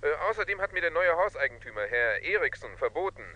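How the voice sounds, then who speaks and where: A man's voice: a little high, lively intonation, fast talker, bright, forceful tone. A man playing a hesitant, dutiful house servant in a German radio play, protesting politely over a telephone. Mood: hesitant, worried. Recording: noisy, distant telephone line.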